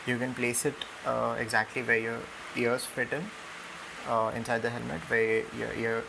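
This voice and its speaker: A young man with an Indian accent giving a casual, halting unboxing and tutorial narration with many ums. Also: medium pitch, gravelly. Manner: hesitant, halting delivery full of ums. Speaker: product reviewer. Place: indoors on a room floor. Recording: noisy home recording with steady hiss.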